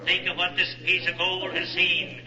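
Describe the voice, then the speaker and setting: Bright, forceful showman auctioneer. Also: high voice, flat delivery, soft background music. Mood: grandiose. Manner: grandiose, rapid repeated bidding chant. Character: brisk showman auctioneer hawking antiques. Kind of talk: 1940s radio acting. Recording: muffled vintage 1940s radio recording.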